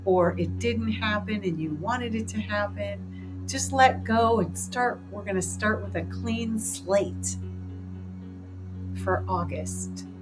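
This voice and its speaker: A woman's voice: medium pitch, slow pace, poor audio. Woman leading guided meditation over music. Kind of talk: guided meditation, speaking to a group. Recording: clear background music under the voice.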